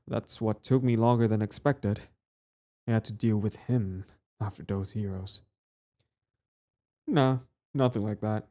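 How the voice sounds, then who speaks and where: Man acting as a mellow, low-voiced romantic villain. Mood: bitter, scheming. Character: cocky but flustered villain, devoted romantic suitor. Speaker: audio roleplay voice actor. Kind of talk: one-sided romantic audio roleplay, conversational.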